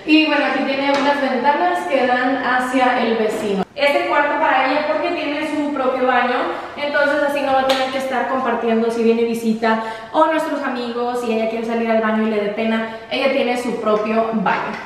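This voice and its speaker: A woman's voice: high-pitched, fairly even intonation, normal speed. A woman in her twenties giving a casual house tour vlog, bubbly and enthusiastic, using informal slang. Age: 20s. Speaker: vlogger. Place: empty rooms of a house, indoors. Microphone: camera held by another person, few metres away. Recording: echoey empty rooms, distant mic, faint noise.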